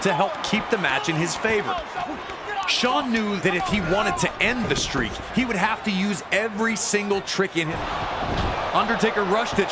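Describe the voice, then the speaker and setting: A man narrating wrestling action from a script in a dramatic, emphatic manner. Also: high voice. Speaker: sports narrator. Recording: loud crowd noise behind voice. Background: roaring arena crowd.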